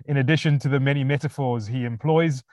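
A man hosting an interview, reading a prepared introduction and then asking questions with pauses. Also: mid-range voice, fairly even intonation, a little mellow, no room sound, clean studio sound. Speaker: podcast host.

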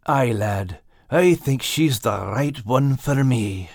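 A man voicing an earnest, warm-hearted little adventurer, an animal with a Scottish accent, in an audiobook. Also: medium pitch, expressive, slow pace, vocal fry, no room sound, studio quality, heavily acted. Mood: earnest, smitten. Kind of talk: audiobook character acting.

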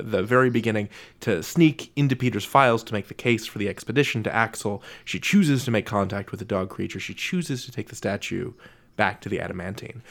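A man's voice: mid-range voice, gravelly. A man hosting a conversational interview, thoughtful and articulate with a playful way of talking. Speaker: podcast interviewer, host.

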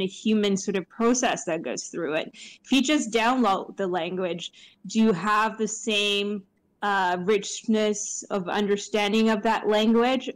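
Woman, conversational, reflective, restarts mid-phrase. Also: mid-range voice. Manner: thoughtful, repeats words while thinking. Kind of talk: casual discussion.

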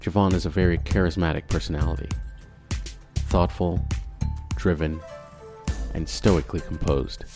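A man's voice: low voice, fairly even intonation, moderate pace, long pauses, music in the background. A man hosting a podcast, introducing the episode and asking his guest curious, prompting questions. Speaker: podcast host. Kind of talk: casual interview and scripted intro.

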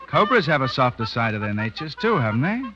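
An actor in a 1930s radio drama playing a seasoned, wry cavalry lieutenant with a needling, colloquial way of talking. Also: male voice, mid-range voice, big pitch swings, quick pace, close to an everyday voice. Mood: teasing. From Canada. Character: seasoned cavalry lieutenant, wry, insubordinate, big-brotherly man. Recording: dull vintage 1930s radio recording, music behind.

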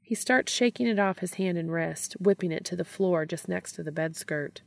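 A woman's voice: fairly low pitch, normal speed, creaky, no echo. A woman narrating a scripted piece of fiction as a story reader.

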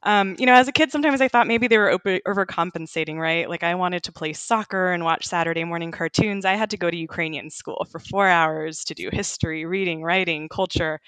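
A woman's voice: fairly low pitch, fairly quick, hardly any pauses, fairly bright tone, smooth, dry recording. A woman, a journalist guest talking earnestly and reflectively in a podcast conversation. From United States.

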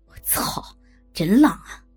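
A woman voicing coarse, leering working-class men with a gruff, colloquial, swearing manner. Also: low voice, sing-song, moderate pace, darkish tone, soft background music, voice pushed down, full character voice. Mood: crude, leering.

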